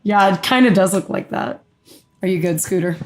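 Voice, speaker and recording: A young woman co-hosting a live game reaction stream, chatty and excitable, breaking off to answer the chat. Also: mid-range voice, moderate pace. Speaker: co-host, musician.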